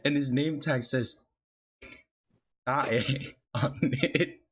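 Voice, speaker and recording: A man's voice: mid-range voice, drawn-out words, a bit bright, slightly rough. Rambling young man telling stories. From Filipino family, California. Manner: rambling, laughs through his stories, many fillers. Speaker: podcast host. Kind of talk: casual storytelling chat. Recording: dull lo-fi podcast audio.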